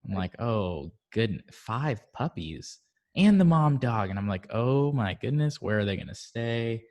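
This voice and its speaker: A man from Barbados chatting on a video call, telling stories in an animated way and jumping to sudden high notes. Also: measured pace. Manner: animated storytelling with sudden high-pitched outbursts. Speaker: guest. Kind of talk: casual chat.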